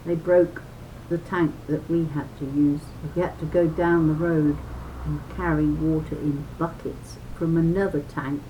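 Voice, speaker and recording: An older woman reading a children's booklet aloud in a deliberate, instructive way, with chatty personal asides. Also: flat delivery, dull audio. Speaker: reader of a children's health booklet. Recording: noisy home recording with low rumble.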